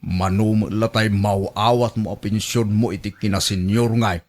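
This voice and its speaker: A man's voice: lowish voice, steady tone, moderate pace, a little mellow, close to an everyday voice. A man with an Ilocano accent playing a domineering husband who nags and orders his wife around in a radio drama. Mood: scolding, dismissive. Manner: domineering, nagging.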